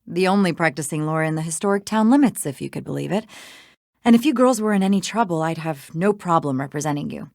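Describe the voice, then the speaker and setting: A woman's voice: deep voice, animated, quick pace, vocal fry, a little mellow, no echo, studio quality. A woman playing a confident, teasing small-town lawyer in a video game, with a wry, self-assured manner. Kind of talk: scripted video game dialogue.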